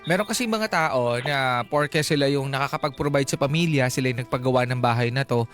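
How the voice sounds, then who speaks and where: A man's voice: mid-range voice, quick pace. A Filipino man hosting a radio advice show, talking conversationally and asking probing, reflective questions. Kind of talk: conversational advice interview, code-switching. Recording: radio broadcast with music underneath. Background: background music.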